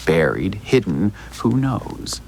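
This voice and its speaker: Audio drama lawyer: smoothly evasive, insinuating politeness, low gravelly voice that swings dramatically. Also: male voice, very animated, darkish tone, slight background noise, exaggerated voice. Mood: cryptic, insinuating. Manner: measured, theatrical, hinting.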